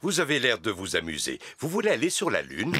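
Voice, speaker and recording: A man's voice: medium pitch, wildly swooping pitch, a little fast, heavy background noise. An actor voicing a jolly, cheerful father pig in a cartoon. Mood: explaining. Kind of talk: cartoon dubbing.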